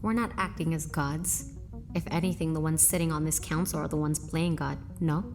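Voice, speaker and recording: A woman's voice: sits low, steady tone, moderate pace, music in the background. A woman acting a defiant, self-assured military lieutenant under questioning, curt and formal. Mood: defiant, cool. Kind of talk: screen drama acting.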